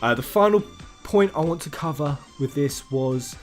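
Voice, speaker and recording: A man giving casual, sweary gaming news commentary as a channel host, excitable and informal in manner. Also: a little high, normal speed. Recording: music under the voice. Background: background music.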